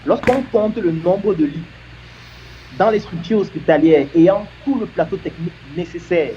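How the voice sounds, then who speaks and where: A man with a fairly high, mellow voice argues his point earnestly, stacking example on example. The sound is a bit muffled, with a faint hiss underneath. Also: normal speed. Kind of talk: argumentative discussion.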